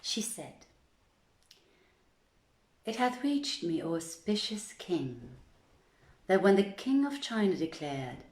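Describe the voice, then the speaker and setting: Female storyteller, slightly low register, very unhurried theatrical delivery of archaic literary narration, roomy sound. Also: sing-song, a little mellow. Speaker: storyteller, reader. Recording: roomy, echoing voice recording.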